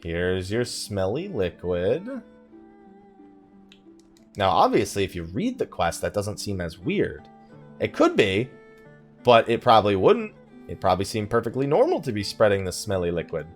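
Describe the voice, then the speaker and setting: A man's voice: fairly low pitch, huge pitch swings, moderate pace, long pauses, soft background music. A man giving casual let's play commentary, chatting and rambling as he thinks aloud while he plays. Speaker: gaming YouTuber, let's play host.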